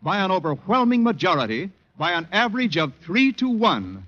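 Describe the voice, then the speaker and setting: A man's voice: high voice, very animated, normal speed, fairly bright tone, muffled recording. A man working as an announcer, reading in 1940s radio advertising style on an old broadcast recording.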